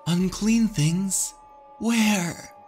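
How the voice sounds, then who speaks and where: A man's voice: high voice, very slow, a little mellow, breathy edge, faint music behind, voice pushed up, heavily acted. A man voicing a worried, polite shopkeeper mother in a horror story, hesitant and questioning. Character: worried, polite mother who keeps a shop.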